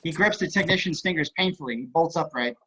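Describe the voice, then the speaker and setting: Bright, highish male script narrator. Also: fast talker, slightly rough. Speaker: narrator of stage directions. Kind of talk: scripted narration at amateur table read. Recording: dull video-call audio.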